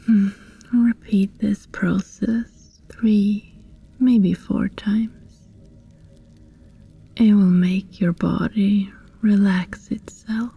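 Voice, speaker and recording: ASMR roleplay sorceress, female performer: friendly, reassuring, unhurried delivery, breathy whisper with creaky fry at the edges. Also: medium pitch, steady tone, warm tone, exaggerated voice. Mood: hypnotic, soothing. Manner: slow, soothing, deliberate with long pauses. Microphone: close, dry microphone.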